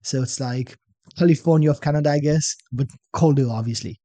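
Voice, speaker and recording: Israeli-accented man, dark mellow voice. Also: fairly high pitch, fairly quick, dry recording. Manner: relaxed storytelling, tags statements with "right?". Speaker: podcast guest, fitness coach. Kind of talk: casual interview chat.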